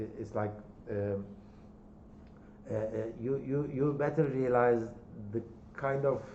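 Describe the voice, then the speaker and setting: A man with a Turkish accent lectures a university class in a mellow, relaxed voice, often repeating words and checking in with "okay?". The recording is roomy and slightly dull, with a faint hum of room ambience behind him. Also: mid-range voice. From Turkey.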